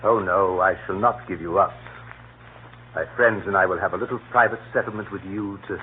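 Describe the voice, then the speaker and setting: An actor playing a suave older gentleman villain, courteous, deliberate and quietly threatening, in a 1930s radio drama. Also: sits low, lightly acted. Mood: mocking menace. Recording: noisy, phone-like 1930s radio recording.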